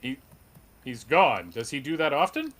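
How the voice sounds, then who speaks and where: Earnest superhero voiced by a man, noble, melodramatic, higher-pitched, bright forceful tone, comic table read. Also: very animated, measured pace, exaggerated voice. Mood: polite, bemused.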